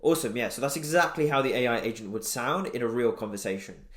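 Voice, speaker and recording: A man presents a casual screen-share tutorial in a roomy, echoey recording. His voice sits at a middling pitch, and he explains things step by step in a conversational way. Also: fast talker, a bit bright.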